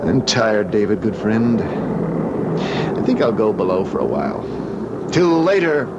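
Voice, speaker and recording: An actor playing a weary, haunted young English gentleman in an old-time radio drama. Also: on the low side, big pitch swings, takes it slowly, exaggerated voice. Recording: dull, noisy vintage radio recording.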